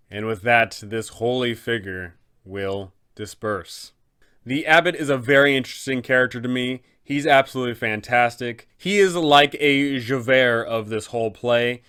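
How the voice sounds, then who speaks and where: A man's voice: mid-range voice, a bit bright, clear, resonant tone. A man hosting a game master's guide, explaining in an enthusiastic, conversational way. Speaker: dungeon master and channel host.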